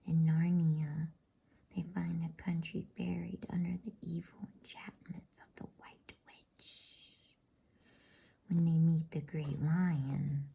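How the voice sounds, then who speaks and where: A woman whispers softly and breathily in a gentle, informal, unhurried way, hosting an ASMR bedtime reading. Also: low voice, little pitch movement, vocal fry, husky. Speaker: bedtime story reader and host.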